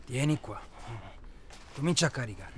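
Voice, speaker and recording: An actor playing a hard, bossy, cold-blooded safari organizer in a naturalistic radio drama, terse and impatient. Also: male voice, mid-range voice, animated, normal speed, a little hiss or hum, natural voice. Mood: terse, urging.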